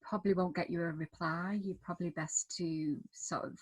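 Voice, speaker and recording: Slightly husky woman giving informal advice. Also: on the low side, fairly even intonation, normal speed. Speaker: television writer giving advice. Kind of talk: informal panel advice at an online event.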